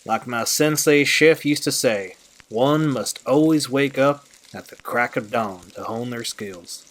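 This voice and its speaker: A man's voice: medium pitch, a little slow, fairly bright tone, natural voice. Goofy young guy with a playful streak, acted by a man. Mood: breezy, joking. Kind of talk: audio drama acting.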